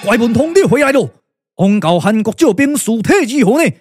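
A man's voice: extremely high pitch, big pitch swings, husky, no echo, studio quality, faint music behind, full character voice. A man with a Taiwanese accent playing a grave, courtly, emotional strategist in a glove-puppet radio drama, declaiming theatrically. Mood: urgent, alarmed. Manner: declamatory, theatrical, drawn-out exclamations.

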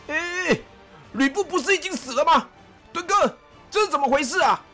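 A woman's voice: sits high, expressive, normal speed, bright, forceful tone, soft background music, voice pushed up. A woman voicing a panicky, easily frightened young male officer who stammers and pleads. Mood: bewildered.

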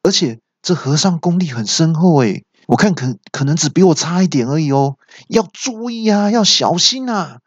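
A man plays a blustering demon elder brother in a martial-arts tale. The voice jumps high, urgent and scolding. The sound is dry and a little dull. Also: lively intonation, moderate pace, no room sound, lightly acted. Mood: alarmed.

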